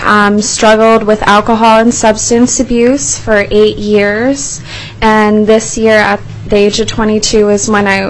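A woman in her twenties from Alaska, speaking earnestly with pauses as she testifies before a legislative committee. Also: mid-range voice, slight background noise, low-quality recording. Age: 20s. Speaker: youth advocate testifying. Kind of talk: formal testimony.

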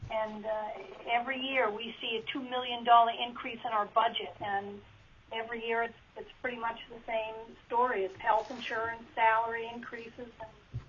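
A woman's voice: on the high side, level tone, moderate pace, a bit bright. A woman school board member giving testimony at a legislative hearing, plain and hesitant with many ums. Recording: lo-fi teleconference line with faint hiss.

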